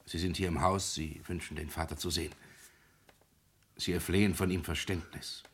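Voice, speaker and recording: Radio drama, formal legal counsellor: deepish, breathy-edged voice, measured, grave, anxious mediator. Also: male voice, steady tone, fairly bright tone. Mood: solemn, pleading. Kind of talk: classic German radio drama acting.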